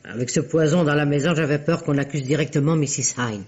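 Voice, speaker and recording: A woman's voice: deep voice, brisk, a little mellow, breathy edge. A woman playing a loyal, plain-spoken servant in a mystery radio play, stubborn and protesting as she explains herself. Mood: defensive. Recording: dull, muffled vintage-style radio recording.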